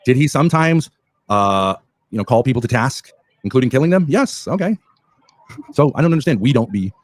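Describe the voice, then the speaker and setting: A man's voice: medium pitch, expressive, fairly quick, dry recording. A man in a heated online panel argument, blunt and sarcastic, sounding through a remote call mic. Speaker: online commentator in a panel debate.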